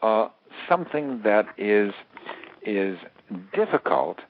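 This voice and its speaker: Phone-band audio, low-pitched American man, expert interview guest, halting analytical explanation, repeated words, dry sound. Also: very animated. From United States. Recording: narrowband phone-like radio interview line.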